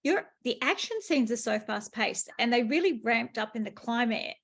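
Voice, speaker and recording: A woman's voice: fairly high pitch, expressive, moderate pace. A woman hosting a conversational book interview, effusive and emphatic in her delivery. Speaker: podcast host interviewing an author.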